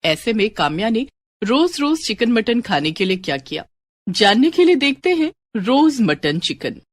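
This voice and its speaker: A woman narrating scripted moral stories in an expressive storytelling style. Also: a little high, normal speed, no room sound.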